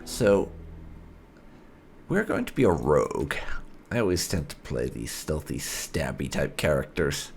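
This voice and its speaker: A man's voice: extremely low pitch, very animated, measured pace, creaky, long pauses, faint music behind. A man hosting a gaming video with casual, explanatory playthrough commentary, wandering into emphatic asides.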